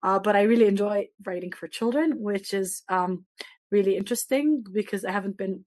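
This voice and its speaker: A woman in her thirties, a native Arabic speaker from Jerusalem, talks on a discussion panel. She sounds earnest and reflective, often pausing on fillers and restarting her sentences. Her voice sits at a middle pitch, recorded close and dry. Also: a little mellow, no room sound. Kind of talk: panel talk.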